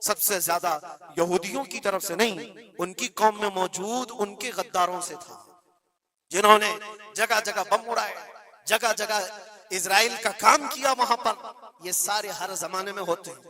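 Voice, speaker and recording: High-pitched, forceful Indian male preacher. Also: moderate pace. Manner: emphatic, exhorting, repeats phrases for weight. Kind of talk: impassioned religious sermon.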